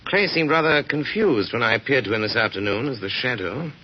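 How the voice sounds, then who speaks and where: An actor playing a calm, confident gentleman detective in 1940s radio drama style, measured and authoritative. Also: mid-range voice, lightly acted. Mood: confident, deducing. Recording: muffled, noisy old radio recording.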